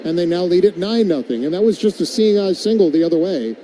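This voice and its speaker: A man calling baseball play-by-play on the radio and chatting in a wry, conversational way between pitches. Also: animated, fast talker, dark tone, slight background noise, low-quality recording. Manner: wry, tongue-in-cheek. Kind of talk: live play-by-play mixed with conversational commentary.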